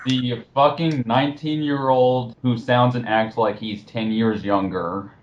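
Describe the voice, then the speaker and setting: A man's voice: mid-range voice, steady tone, measured pace. A sardonic man joining in casual mocking banter as a co-commentator, on a muffled, noisy, clicky mic.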